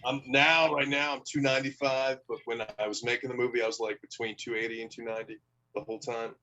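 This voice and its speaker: A man's voice: medium pitch, little pitch movement, quick pace, sharp tone, low-quality recording. A man in his twenties on a video call panel, modest and laughing at himself. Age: 20s. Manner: modest, laughing, self-deprecating. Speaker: guest actor. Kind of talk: casual panel chat.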